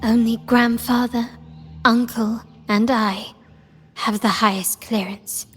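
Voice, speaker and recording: A woman playing a composed, shrewd young heiress in a game, speaking formally and deliberately. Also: a little high, fairly even intonation, a bit bright. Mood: grave, troubled. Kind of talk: dramatic game dialogue. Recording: reverberant hall, music underneath. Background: music.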